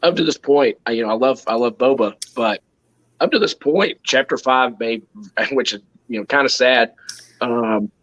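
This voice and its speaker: A man co-hosting a live fan show, his voice a little off from throat drainage, talking haltingly with many restarts. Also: mid-range voice, fairly bright tone, poor audio. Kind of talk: casual live-stream chat.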